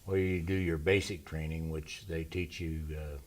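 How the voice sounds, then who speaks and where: An older man from Indiana speaks in a very deep, low voice that barely rises or falls. He talks slowly and in a measured way, pausing to recall things as he answers interview questions about his past. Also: fairly even intonation. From Indiana, USA. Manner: slow and measured, pauses to recall. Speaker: interview guest, military veteran. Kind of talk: relaxed reminiscing interview.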